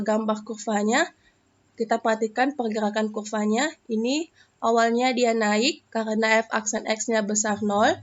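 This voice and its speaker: A young woman from Indonesia, a math student, explains a lesson step by step in a screen recording, pausing often with hesitations. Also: fairly high pitch, dull audio.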